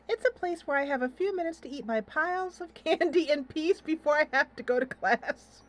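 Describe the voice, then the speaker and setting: A woman's voice: sits high, lively intonation, moderate pace. A woman hosting a casual let's play, thinking aloud in a playful, giggly way as she comments on the game. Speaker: host of a gaming channel.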